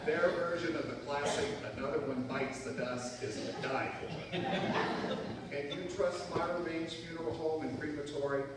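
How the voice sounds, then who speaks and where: A man playing a cheerfully morbid, salesmanlike pitchman in a parody radio commercial. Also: steady tone, moderate pace, natural voice. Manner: punning, salesmanlike. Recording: echoey hall from far off, noisy.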